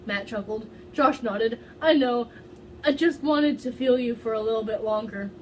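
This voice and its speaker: A woman's voice: on the high side, a little hiss or hum, poor audio. A woman doing an amateur dramatic read-aloud, stumbling over words and slipping into giggly casual asides. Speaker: fan fiction reader.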